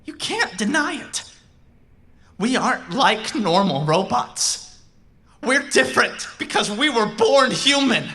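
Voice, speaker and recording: A woman voices an intense young robot who was once human, in a cyberpunk audio drama. The delivery is sharp and swings widely in pitch. It presses each point slowly and emphatically, pleading with an edge of menace. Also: sing-song, exaggerated voice. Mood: urgent, incredulous. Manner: emphatic, repetitive, emotionally pressing.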